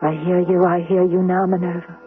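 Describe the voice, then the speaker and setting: A woman's voice: fairly low pitch, monotone, a little fast, music in the background, lightly acted. An actress playing a troubled, intense Roman noblewoman who foresees catastrophe, in theatrical 1970s radio drama style. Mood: solemn, pleading. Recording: noisy, phone-like old radio broadcast.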